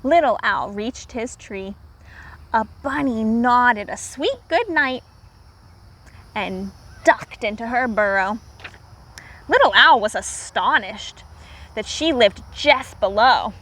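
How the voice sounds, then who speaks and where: A woman teacher reading aloud to young children, pausing to explain words simply and repeating herself for clarity. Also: a little high, expressive, fairly bright tone.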